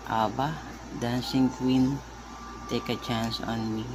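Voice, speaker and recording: A Filipino man giving a casual show-and-tell as a vlogger, speaking in short halting phrases and mixing in English. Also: mid-range voice, flat delivery. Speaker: vlogger, record collector. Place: at home. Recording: very noisy home recording.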